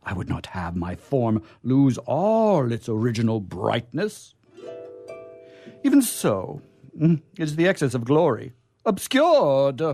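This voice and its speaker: Man playing a slow, lofty angel in love. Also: mid-range voice, very animated, gravelly, warm tone, dry recording, faint music behind, heavily acted. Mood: grand, wistful. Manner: stately, florid, poetic. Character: lofty male angel turned mortal, ardent, grandly earnest lover. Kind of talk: theatrical stage comedy acting.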